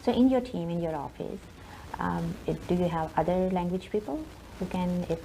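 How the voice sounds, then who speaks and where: A woman hosting a TV interview, asking soft-spoken, hesitant questions. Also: on the low side, normal speed, vocal fry, a little hiss or hum, low-quality recording. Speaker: talk show host.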